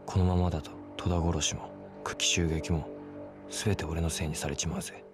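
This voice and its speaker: An actor playing a hot-headed young underground fighter, brooding and defiant, with terse, rough talk in a game cutscene. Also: male voice, low voice, flat delivery, moderate pace, over music, natural voice. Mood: tense, determined. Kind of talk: dramatic Japanese game cutscene acting.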